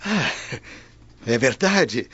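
A man's voice: medium pitch, expressive, extremely slow, fairly bright tone, husky, no room sound, heavily acted. A man playing an old Roman censor in a radio drama, a polite, deferential and anxious family man. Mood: eager, fond. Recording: muffled radio drama with background music.

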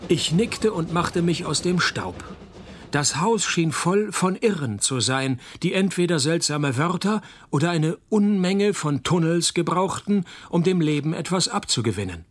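An actor playing a laconic, wisecracking private detective in a radio play, dry and sardonic. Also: male voice, a little high, expressive, measured pace. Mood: deadpan narrating. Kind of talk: radio play narration and dialogue.

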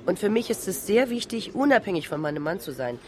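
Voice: mid-range voice, big pitch swings, quick pace, darkish tone. A translator's voice reading an interviewee's words for a radio documentary. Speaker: voice-over translator. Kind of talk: documentary voice-over.

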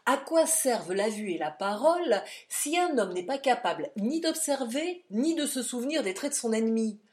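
A woman reading aloud and voicing an old male doctor who lectures in a forceful, emphatic, scornful way. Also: mid-range voice, expressive, unhurried, natural voice. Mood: lecturing.

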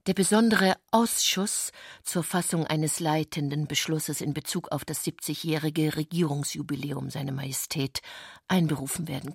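A woman performing as the measured, composed narrator of a satirical literary radio drama. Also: low-pitched, creaky, breathy edge, dry recording, studio quality, exaggerated voice.

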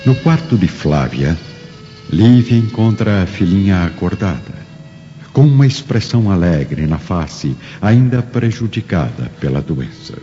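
Male narrator, radio drama, very low mellow voice, grave theatrical delivery, muffled reverberant recording. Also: very animated, a little slow. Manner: solemn, theatrical. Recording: long reverb, dull audio, music behind.

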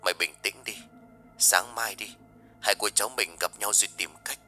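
A man voicing an older village aunt, blunt and gossipy, who talks in a hushed, confiding way and rushes through her gossip. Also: lowish voice, soft background music.

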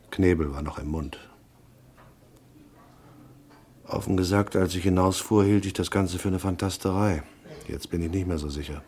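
A man's voice: low voice, a little mellow, lightly acted. An actor playing a hardboiled, laconic private detective in a radio drama, narrating his case tersely and dryly. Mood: grim, guarded. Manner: terse, dry, unimpressed.

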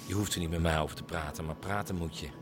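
An actor playing a concerned, frank confidant in a radio drama, speaking in a coaxing way. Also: male voice, low voice, steady tone, quick pace, background noise, close to an everyday voice. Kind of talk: naturalistic Dutch radio drama acting.

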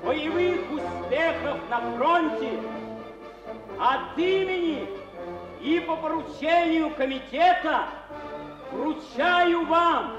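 Female committee speaker, solemn, slow declamation, radio drama, dull echoey recording, music. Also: high voice, lively intonation. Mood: solemn, proud. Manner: declamatory, drawn-out. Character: solemn wartime committee speaker presenting to troops. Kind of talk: ceremonial speech in radio drama. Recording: echoey, band-limited, dull sound.

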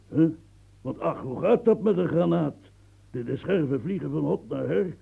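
A man's voice: on the high side, creaky, dark tone, husky, exaggerated voice, low-quality recording. An actor playing an aging, boastful, womanizing old nobleman who rambles, chuckles, coughs and stammers in a radio play. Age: older.

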